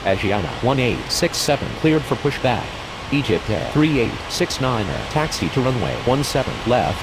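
A man's voice: medium pitch, lively intonation, moderate pace, natural voice. A man reading scripted air traffic calls in a clipped, formal manner. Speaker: pilot and air traffic controller. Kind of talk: scripted radio phraseology. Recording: very loud engine-like ambient noise.

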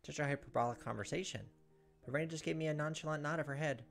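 A man's voice: on the high side, fast, gravelly, faint music behind. A man in his thirties hosting a gameplay video, expressively reading a visual novel's narration aloud.

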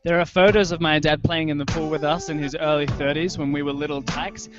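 A man in his thirties giving a measured, poetic literary reading. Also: a little high, fairly even intonation. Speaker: writer reading his own fiction. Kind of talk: literary reading over a live soundscape. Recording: dull lo-fi web stream audio. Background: music.

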